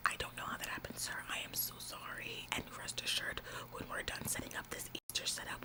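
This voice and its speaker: A woman's voice: lightly acted, poor audio. A woman playing a bossy, self-important young retail employee in an ASMR roleplay, earnest and stammering over repeated words. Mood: deferential, eager.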